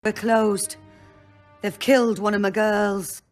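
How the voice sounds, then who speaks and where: An actress playing a blunt, earthy, world-weary brothel madam in a fantasy video game, speaking in short bursts. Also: female voice, mid-range voice, little pitch movement, takes it slowly, faint music behind, natural voice. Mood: bitter, shaken.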